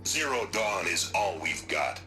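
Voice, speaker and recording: A coldly resolute military leader in a video game. His voice is very deep and intensely hard-edged, with deliberate authority. Faint music runs underneath. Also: animated, pushed and bright, heavily acted. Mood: grave, resolute. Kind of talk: dramatic video game acting.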